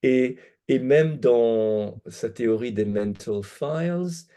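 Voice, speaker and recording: Dark-voiced man, measured and hesitant. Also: medium pitch. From Belgium. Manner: measured, hesitant, thinking aloud. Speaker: guest philosopher. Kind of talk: academic discussion.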